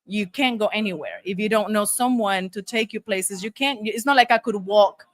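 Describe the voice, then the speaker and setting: Middle-aged Mexican-born woman, native Spanish speaker, mid-pitched, conversational and emphatic guest, recorded dry. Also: normal speed, dry recording. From Monterrey, Mexico. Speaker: guest, taco shop owner. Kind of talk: casual conversational storytelling.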